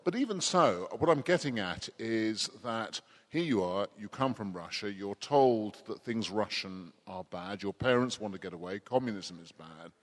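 A man's voice: lowish voice, animated, normal speed, few pauses. A man conducting an interview, asking long, winding questions with a dry wit. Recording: long hall reverb.

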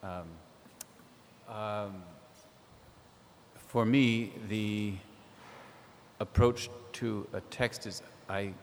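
A man talking as a literary translator on a panel, thoughtful and hesitant, with many pauses and ums. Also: on the low side, fairly even intonation, darkish tone, slight background noise. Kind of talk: panel discussion. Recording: echoey hall.

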